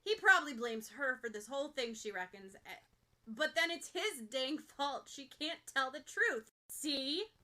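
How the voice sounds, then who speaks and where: A woman narrating a fan fiction story as a podfic reader, with expressive storytelling. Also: high voice, normal speed.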